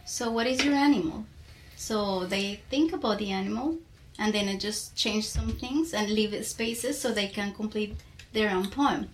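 A woman from Colombia with a Colombian accent, a native Spanish speaker, talking reflectively and searching for words as a guest. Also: medium pitch. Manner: halting, searching for words in a second language. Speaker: podcast guest, poet and teacher. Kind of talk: casual reflective conversation.

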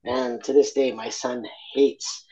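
A man from Argentina speaking candidly as an interview guest, reflective and halting, with many fillers and restarts. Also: medium pitch, little pitch movement, background noise.

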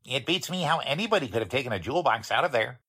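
A man plays a plain-spoken bank attendant in a 1940s-style radio drama. He is chatty and deferential, with a rough-edged husky voice and very animated intonation. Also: mid-range voice, fast talker, exaggerated voice. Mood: earnest, deferential.

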